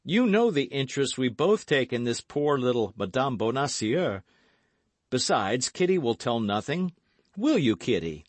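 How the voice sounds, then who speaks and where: A man voicing an eager, brash young gentleman in an audiobook, speaking with emphatic, commanding and affectionate delivery. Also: medium pitch, very animated, measured pace, no echo, voice pushed up. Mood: earnest, insistent. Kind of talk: audiobook character acting.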